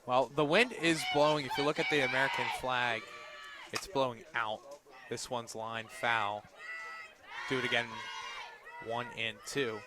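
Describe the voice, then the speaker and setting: A man doing live play-by-play commentary of a softball game for radio, outdoors at a ballfield. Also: medium pitch, steady tone, measured pace, pauses often, background noise.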